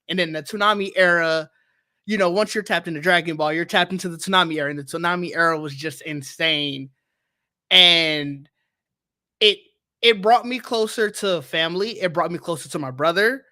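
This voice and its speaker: A man's voice: high voice, sharp tone. A man co-hosting a podcast, talking earnestly and reflectively in casual chat, trailing into fillers. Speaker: podcast co-host.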